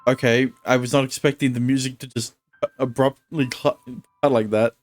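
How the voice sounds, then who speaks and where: A male streamer who reads visual novel narration aloud in a steady tone and chats casually between lines. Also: mid-range voice, moderate pace.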